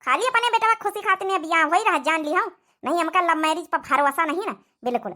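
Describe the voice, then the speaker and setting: A woman's voice: very high voice, fast talker, pushed and bright. A woman playing a strict, scolding village mother-in-law in a cartoon comedy, with an Awadhi accent and a nagging, domineering manner. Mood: stern, scolding.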